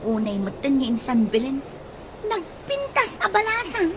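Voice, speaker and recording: An actress playing a gossipy, envious young daughter in an Ilocano radio comedy drama. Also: female voice, sits high, very animated, a little slow, crowd noise, exaggerated voice.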